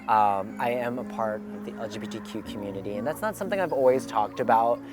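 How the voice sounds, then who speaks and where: Earnest young man, thoughtful pauses. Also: mid-range voice. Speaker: documentary interviewee. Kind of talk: reflective personal account. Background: music.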